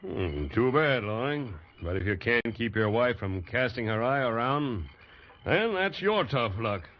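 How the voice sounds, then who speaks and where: An actor playing an arrogant, bullying logging camp boss in a 1940s radio drama, sneering and domineering. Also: male voice, medium pitch, sing-song, unhurried. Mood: sneering, defiant. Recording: dull old 1940s radio recording.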